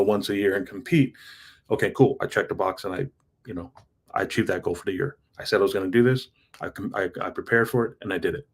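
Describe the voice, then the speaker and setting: A low, husky male voice in his thirties, talking straight to the listener in a loose motivational monologue. He is earnest and rambling, and he often trips over his words and restarts. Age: 30s. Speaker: self-improvement vlogger.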